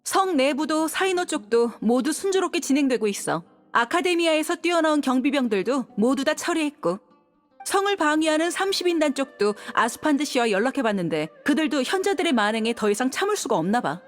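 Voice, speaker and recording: An actress playing a lively, confident young female rescuer in a game, with a playful delivery. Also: high-pitched, brisk, faint music behind. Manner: playful, animated. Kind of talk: animated game voice acting.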